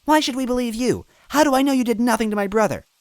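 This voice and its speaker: A woman's voice: a little high, fast talker, no echo, pitched above the performer's own voice, lightly acted. A woman voicing a small toad girl in a storybook reading, huffy and defiant with wavering bravado.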